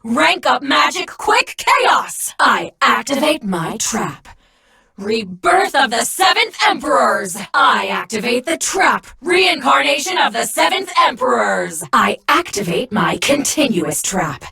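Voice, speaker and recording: A woman's voice: high voice, sing-song, slow pace, gravelly, sharp tone, breathy edge, heavily acted. A woman voicing a fierce, icy, vengeful warrior woman in an anime card game, with theatrical, commanding delivery. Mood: firm, commanding. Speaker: video game character voice.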